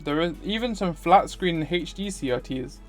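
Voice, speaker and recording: Male game streamer, slightly high voice, scripted first-person narration with faint music behind. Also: expressive, normal speed. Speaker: streamer reading a visual novel aloud. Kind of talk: scripted narration read aloud.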